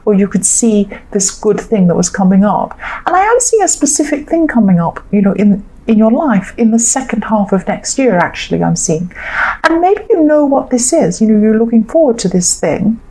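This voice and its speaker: A woman with a slightly croaky throat giving a gentle, rambling tarot reading, full of fillers and soft reassurances. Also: a little high, animated, moderate pace, low-quality recording. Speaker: tarot reader.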